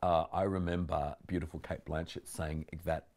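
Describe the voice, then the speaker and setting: A man's voice: very deep voice, little pitch movement, moderate pace, darkish tone. A man in his forties, an actor, chatting animatedly in an interview in a living room and laughing often. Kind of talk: casual interview chat. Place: living room with bookshelves, indoors.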